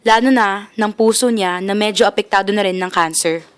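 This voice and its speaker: A woman's voice: mid-range voice, normal speed, smooth, lightly acted. A young woman with a Filipino accent playing a grave, formal doctor in an amateur radio drama. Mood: grave, regretful. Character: grave, formal doctor delivering frank medical news.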